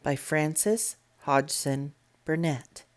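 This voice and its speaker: A woman narrates a storybook aloud, taking her time over each phrase. Her voice sits a little low and her delivery is measured and deliberate. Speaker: storybook reader. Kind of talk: read-aloud audiobook narration.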